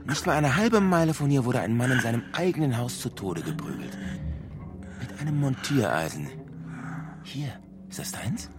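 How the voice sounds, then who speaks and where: An actor in a radio drama playing a brutal racist police officer who threatens and sneers in a domineering way. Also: male voice, medium pitch, sing-song, normal speed, background noise. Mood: hostile threatening.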